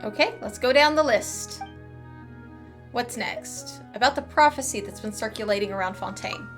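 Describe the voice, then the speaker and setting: Higher-voiced woman streaming a game. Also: normal speed. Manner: informal, playful. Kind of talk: casual commentary while playing a game. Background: game music.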